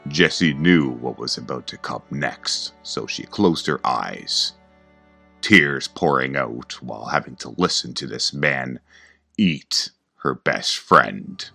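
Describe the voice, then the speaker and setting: A man with an extremely deep voice delivers scripted horror narration. He goes slowly and deliberately, dramatic and ominous, with a sharp, forceful tone. Music plays behind him. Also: animated, gravelly.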